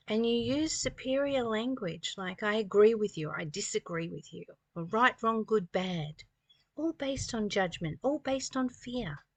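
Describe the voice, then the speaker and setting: A woman's voice: a little high, no echo. A woman in her sixties giving an earnest, preaching spiritual talk, reading passages and explaining them with rhetorical questions and repetition. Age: 60s. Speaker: spiritual teacher reading and commenting on a study text.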